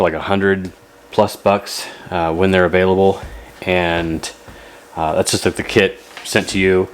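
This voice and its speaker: Low-voiced man, folksy and rambling. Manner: rambling, folksy, laid-back. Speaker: host of a radio repair video. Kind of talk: casual hands-on repair vlog.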